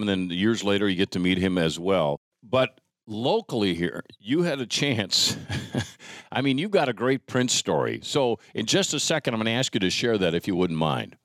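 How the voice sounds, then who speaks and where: A man's voice: fairly low pitch, lively intonation, normal speed, a bit bright, no echo, clean studio sound. A man hosting an interview show in a chatty, emphatic way, speaking directly to the listener. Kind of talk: casual hosting with conversational sponsor reads.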